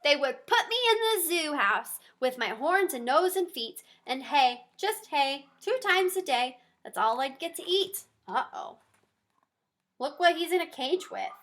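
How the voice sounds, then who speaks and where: A woman reading a story aloud to young children in an expressive, encouraging manner, pausing to chat with the listeners. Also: high-pitched. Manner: animated, encouraging, asks listeners simple questions.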